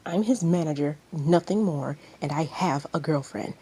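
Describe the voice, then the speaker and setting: A woman's voice: deep voice, moderate pace, dark tone, slightly rough. A woman as an indignant, prim male manager.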